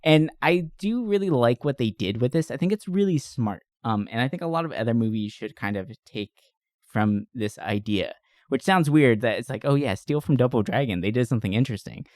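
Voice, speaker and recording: A man's voice: mid-range voice, lively intonation, fairly quick, darkish tone, no room sound. Enthusiastic, giggly man, close dry sound. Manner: enthusiastic, giggly, rambling storyteller. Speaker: podcast co-host reviewing movies. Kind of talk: casual movie-review chat.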